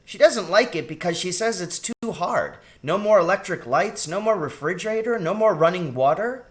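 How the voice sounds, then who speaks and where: A man's voice: sits high, normal speed, voice pushed up. A man voicing a small young girl rat in a storybook, childish, wary and chatty. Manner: childish, excitable, suspicious questioning. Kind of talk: storybook character voice in a read-aloud.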